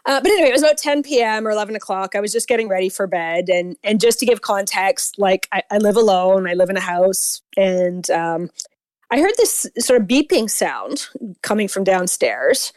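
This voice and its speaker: A woman from Canada with a Canadian accent, calling in to tell a story on a radio show, talking fast and rambling with fillers and restarts. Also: medium pitch, lively intonation, darkish tone, no room sound. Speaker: caller. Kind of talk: phone-in storytelling.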